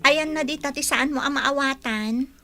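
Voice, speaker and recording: Radio-play student, young, playful and helpful, very high and bright. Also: female voice, normal speed, soft background music. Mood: teasing, helpful. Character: young female college student, helpful and teasing. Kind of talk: audio drama acting.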